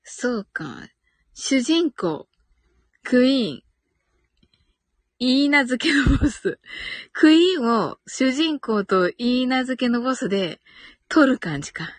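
A woman's voice: sits high, very animated, slow, pauses often, dry recording. A woman hosting a casual live audio stream, giggly and thinking aloud as she reacts to listener comments.

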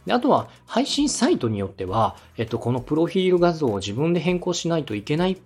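A man's voice: a little high, big pitch swings, fast talker, a little mellow, breathy edge, soft background music. A man hosting a podcast, explaining and giving advice in a polite, instructive way. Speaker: podcast host and radio director. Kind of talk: explanatory coaching talk on a podcast.

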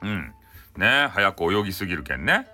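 A man's voice: sits low, sing-song, normal speed, bright, forceful tone. A man with a Hakata accent, chattily retelling a folktale in an informal, humorous way. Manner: chatty, many tag particles. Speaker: storyteller. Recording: music bed behind voice. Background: music.

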